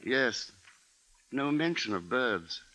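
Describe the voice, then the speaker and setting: An actor playing a grave, observant husband and father, narrating in a measured, deliberate 1950s radio drama style. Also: medium pitch, very animated, background noise. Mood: uneasy, restrained. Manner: measured, deliberate, literary delivery.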